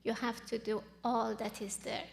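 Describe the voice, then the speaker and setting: A woman in her thirties from Iran, whose first language is Farsi, telling her own story in an interview, earnest and halting with many fillers. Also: fairly high pitch, little pitch movement, vocal fry, long reverb tail, slight background noise. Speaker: guest. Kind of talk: personal testimony interview.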